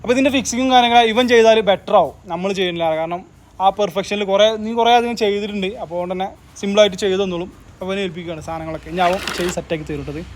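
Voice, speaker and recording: A man's voice: high-pitched, very fast, slight background noise. A man hosting a tech channel, explaining a product unboxing in casual chat and tagging his sentences with a friendly "you know".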